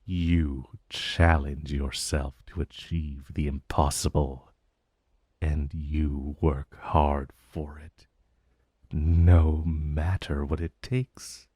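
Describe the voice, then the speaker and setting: A man's voice: extremely low pitch, flat delivery, dark tone, slightly rough, dry recording, clean studio sound, heavily acted. A man playing an ancient dragon in human form, haughty and teasing with a vague menace, in a theatrical, condescending purr for a fantasy ASMR roleplay. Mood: smug, knowing. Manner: slow, theatrical, condescending purr with mocking endearments. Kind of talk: fantasy ASMR roleplay audio, addressing the listener.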